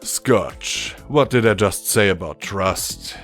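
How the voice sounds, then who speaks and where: A man voicing a weary, caring father pony in a storybook, speaking in a measured, quiet, gentle way. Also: fairly low pitch, lively intonation, faint music behind. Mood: stern, patient.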